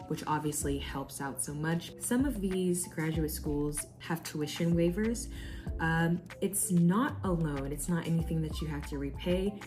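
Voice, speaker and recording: A young woman with a low voice shares advice in an earnest, chatty vlog style, with a few fillers along the way. Clear background music plays under her voice. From United States. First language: English. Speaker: vlogger.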